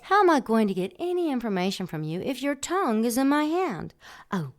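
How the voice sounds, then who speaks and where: A woman's voice: a little high, sing-song, fairly quick. A woman playing a seductive, mocking demon who delivers taunting threats in an audio drama. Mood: gleeful cruelty. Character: menacing seductive female demon, mocking, calling herself a dark angel.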